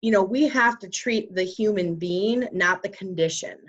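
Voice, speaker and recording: A woman's voice: medium pitch, moderate pace. A woman hosting a wellness podcast and explaining energy healing in an earnest, conversational way. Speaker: podcast host and Reiki practitioner. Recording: dull lo-fi sound.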